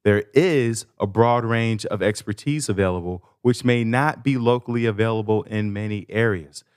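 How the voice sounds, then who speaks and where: A man's voice: on the low side, moderate pace, darkish tone, clear, resonant tone, no echo. Casual male podcast host, close and dry. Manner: informal, playful, slangy asides. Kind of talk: casual conversational podcast ad read and interviewing.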